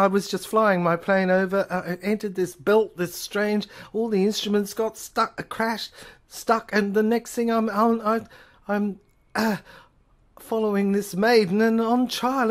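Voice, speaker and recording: A man playing a frantic, indignant prisoner in an audio play, stammering and pleading melodramatically. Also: high-pitched. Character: frantic, indignant male prisoner pilot on trial. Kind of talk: theatrical audio play monologue.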